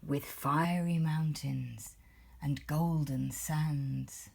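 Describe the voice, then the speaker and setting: A woman reading a rhyming storybook aloud in a breathy, measured and expressive storytelling manner. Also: deep voice. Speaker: parent reading a bedtime story.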